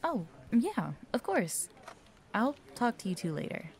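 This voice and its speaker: A woman's voice: fairly high pitch, sing-song, measured pace, noisy background. A woman playing a friendly, chatty, curious office worker in an audio roleplay. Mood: cheerful. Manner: chatty, supportive.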